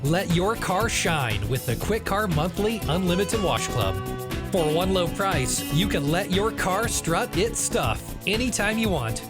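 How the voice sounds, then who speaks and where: A voice-over artist reading a playful scripted commercial. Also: medium pitch.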